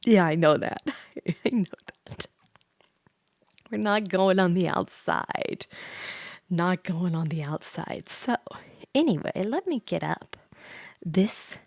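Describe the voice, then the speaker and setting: A woman's voice: fairly low pitch, animated, slow pace, gravelly, long pauses, a little mellow, no room sound. A woman hosting a livestream, chatting casually with viewers in a playful, giggly, rambling, slightly tipsy way. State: tipsy from drinking wine. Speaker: livestream host, VTuber.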